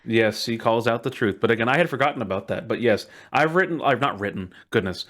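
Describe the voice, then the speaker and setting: A man's voice: medium pitch, quick pace, a bit bright. A man hosting a casual podcast chat in a playful, jokingly ceremonious way. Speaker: podcast host.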